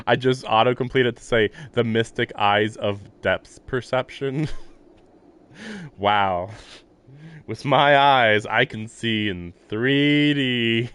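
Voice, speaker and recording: Rambling man streamer with a lowish voice. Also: a bit bright, smooth. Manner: rambling, jokey, musing. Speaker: live streamer. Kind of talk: casual game commentary mixed with reading narration aloud.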